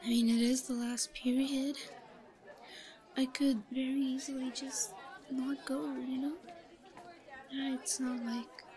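A woman playing a shy, obsessive, lovesick yandere schoolgirl in an intimate roleplay audio, breathy, whispery and halting. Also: high voice, level tone, warm tone, some chatter behind. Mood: hushed pining. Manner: giggly, halting. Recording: noisy, crowded ambient background.

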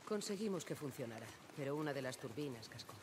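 A woman's voice: very deep voice, animated, quick pace, background noise. A woman playing a firm, authoritative survivor leader in a video game. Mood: composed. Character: commanding adult woman leading a survivor settlement. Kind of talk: naturalistic video game acting.

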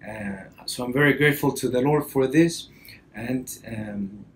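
A man in his early thirties from Costa Rica with a Spanish accent, a priest introducing himself to camera in a halting way with frequent pauses. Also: mid-range voice, poor audio. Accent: Costa Rican Spanish. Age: early 30s. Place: indoor office or library, seated at a desk. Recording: background room noise.

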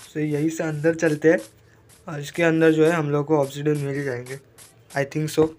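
Man, hoarse with a cold, higher voice, flat monotone, casual game commentary. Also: normal speed, slight background noise. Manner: chatty, mixes English into Hindi. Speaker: gaming YouTuber.

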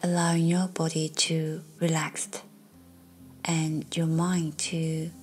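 A woman guiding a meditation in a soft, soothing, breathy voice that often nears a whisper, leaving long pauses. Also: low voice, level tone. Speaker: meditation guide. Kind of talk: guided meditation narration. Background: faint music.

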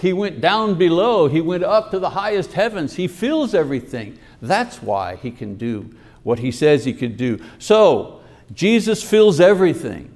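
Older guy preaching from a lectern in church, bit higher voice, earnest and explaining. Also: very animated, unhurried, darkish tone. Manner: earnest teaching delivery, reads scripture aloud. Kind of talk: sermon. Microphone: podium microphone.

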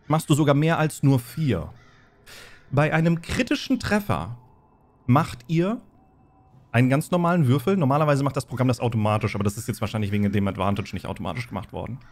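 A man runs a tabletop role-playing game. His voice sits at a middle pitch, and he explains and narrates with deliberate, instructive phrasing. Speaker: game master.

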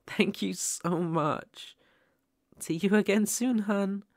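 Man acting as shy young male ghost, British accent, gentle ASMR roleplay, unhurried pace, dry close recording. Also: sits high, steady tone, no echo, exaggerated voice. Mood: polite, affectionate. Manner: hesitant, soft-spoken, gentle.

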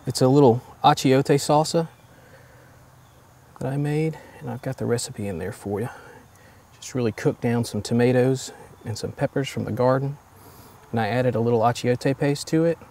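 A man in his forties hosting a home cooking show, explaining each step in a relaxed, easygoing way from his kitchen. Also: mid-range voice, moderate pace. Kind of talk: casual cooking demonstration.

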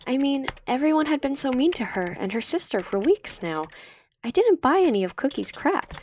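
A brash high school girl as a woman narrator puts her on, frank and casual. She speaks bluntly in an audiobook over faint noise. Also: very animated, normal speed, a little mellow. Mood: puzzled, frank. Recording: slightly noisy home recording.

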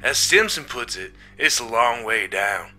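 A man's voice: mid-range voice, animated, a little slow, bright, forceful tone, faint music behind. A man playing a bitter, mutinous spaceman in a story reading, sardonic and goading in manner. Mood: defiant, scornful. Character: bitter, mutinous male spaceman, taunting and spiteful.

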